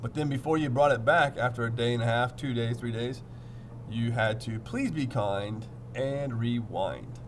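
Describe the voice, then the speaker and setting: A man's voice: mid-range voice, normal speed, a little hiss or hum. A man teaching through a storybook read-aloud, playful and theatrical, repeating phrases and chatting to his pupils.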